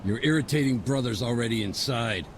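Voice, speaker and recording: An actor playing a gruff, hard-bargaining Spartan tradesman, blunt and grumbling, in a video game drama. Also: mid-range voice, brisk, background noise, close to an everyday voice. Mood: scornful.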